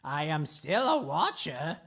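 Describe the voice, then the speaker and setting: A woman's voice: sits low, big pitch swings, slow pace, a bit bright, exaggerated voice. A woman playing a mad, taunting watcher in an improvised radio comedy, with a theatrical, cackling, riddling delivery. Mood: cryptic, gloating. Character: mad, taunting, cryptic watcher lurking above a dungeon.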